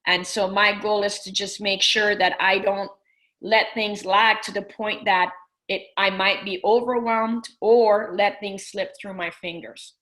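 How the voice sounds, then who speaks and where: A woman's voice: mid-range voice, fairly even intonation, a little slow, a bit bright, rough recording. A middle-aged woman business coach talking earnestly in an interview, with many restarts. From Persian family. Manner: earnest, full of restarts and fillers. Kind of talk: casual interview talk.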